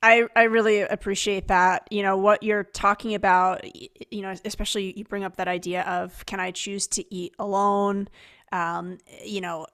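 A woman's voice: medium pitch, flat delivery, normal speed. A woman hosting a podcast, introducing her guest and reflecting on the topic with occasional restarts. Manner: warm-toned, reflective, with fillers and restarts. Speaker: podcast host. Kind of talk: podcast interview.

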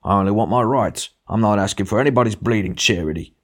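A male narrator puts on a big, blustering working-class ghost for a storybook. He is sulky, self-righteous and forever arguing, pushed up higher and louder than plain narration, with indignant demands punctuating his speech. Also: quick pace, lightly acted. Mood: aggrieved. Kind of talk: literary audiobook character dialogue.

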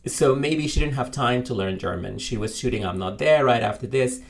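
Man, mid-pitched voice, casual film chat, animated, opinionated, laughing asides. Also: normal speed, gravelly. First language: Arabic.

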